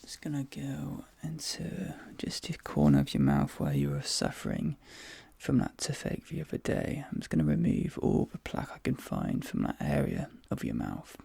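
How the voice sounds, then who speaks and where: An ASMR performer role-playing a dentist, whispering softly in a breathy, crackly voice and slowly repeating short, soothing, reassuring phrases. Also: extremely low pitch, huge pitch swings, no echo. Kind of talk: ASMR role play, soft whispering with personal attention.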